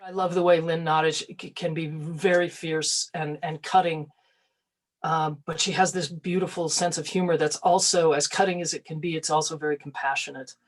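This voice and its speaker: An actor reflecting casually in an interview, with halting, thoughtful phrasing. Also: medium pitch, fairly even intonation.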